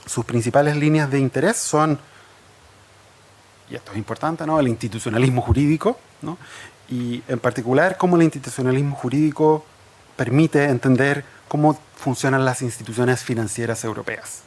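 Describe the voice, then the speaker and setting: A man moderates a university seminar, reading out the speakers' credentials in a halting way with many fillers. His delivery is measured and formal. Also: mid-range voice, darkish tone. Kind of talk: introducing speakers.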